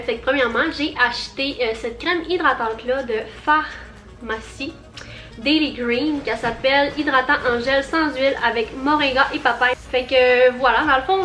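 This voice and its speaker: A young Quebec woman chats in a high, bubbly voice, rambling and filling her sentences with little fillers. Her voice rings a bit in an echoey room. Soft music plays quietly beneath her. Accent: Quebec French. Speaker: vlogger. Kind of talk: casual haul vlog.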